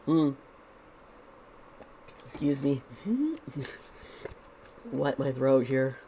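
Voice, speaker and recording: A woman's voice: a bass voice, big pitch swings, extremely slow, dark tone, a little hiss or hum. A woman with a congested, fading voice, doing a casual tarot reading to camera, rambling with frequent restarts and fillers. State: congested, losing her voice. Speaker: tarot reader.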